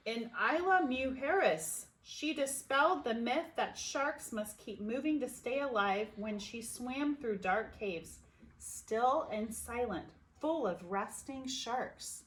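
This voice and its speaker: A woman reading a children's picture book aloud expressively, with dramatic pauses and emphasis. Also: on the high side, expressive, poor audio. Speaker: storybook reader.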